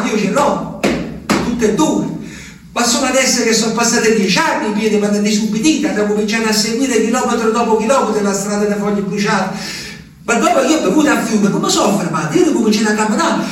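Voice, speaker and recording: An actor playing an anguished, simple Italian peasant man, telling his memories in a halting, urgent stage monologue. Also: sits high, lightly acted. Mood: frightened, urgent. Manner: halting, repetitive, urgent storytelling. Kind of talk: theatrical monologue. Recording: echoey room, distant mic, faint noise.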